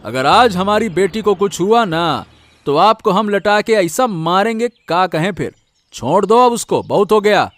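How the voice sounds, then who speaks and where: A man's voice: sits high, lively intonation, unhurried, dry recording. A man playing a middle-aged village farmer father in a cartoon, protective and emphatic in a rustic way. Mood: threatening.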